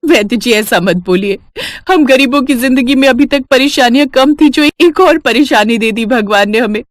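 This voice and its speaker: A woman's voice: on the high side, normal speed, no echo, clean studio sound, voice pushed up, lightly acted. A woman voicing a poor, anxious young wife and mother in a Hindi moral-story cartoon, concerned and pleading. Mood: distressed, pleading.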